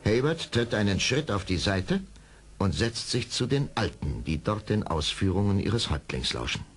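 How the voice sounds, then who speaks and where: A man narrating an audio drama from a script, in measured storyteller phrasing. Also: fairly low pitch, big pitch swings, slightly rough. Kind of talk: scripted radio play narration.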